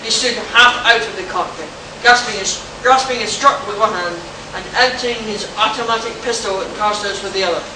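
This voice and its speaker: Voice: medium pitch, brisk, few pauses. A narrator reading pulp adventure dramatically for a live radio play, stumbling over words now and then, in an echoey room. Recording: very echoey hall, far-off mic, clear noise.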